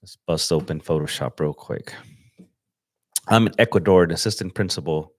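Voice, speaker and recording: A young man from Los Angeles chatting casually on a livestream as he edits photos, relaxed and slangy, thinking aloud with fillers. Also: deep voice, normal speed, dry recording. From Los Angeles, USA. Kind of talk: casual livestream chat while editing. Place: home desk setup.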